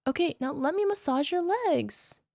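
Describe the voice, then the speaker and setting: High-pitched, sugary, possessive yandere wife acted by a woman. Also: lively intonation, moderate pace, creaky, warm tone, no echo, studio quality. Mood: tender, possessive. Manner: cooing, coaxing, sugary with controlling edge. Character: possessive, doting young yandere wife, sweetly menacing. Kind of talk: ASMR roleplay, speaking directly to listener.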